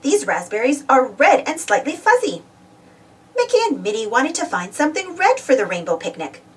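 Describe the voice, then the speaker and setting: High, very animated woman reading a storybook. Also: moderate pace, far from the mic. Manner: expressive, simple delivery for young children. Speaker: storybook reader. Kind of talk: scripted read-aloud children's storybook narration.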